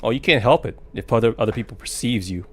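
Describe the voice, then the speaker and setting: A man's voice: mid-range voice, fast, husky. A man doing casual let's play commentary on a horror game, tossing out wry, teasing replies to it. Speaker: streamer playing a horror game.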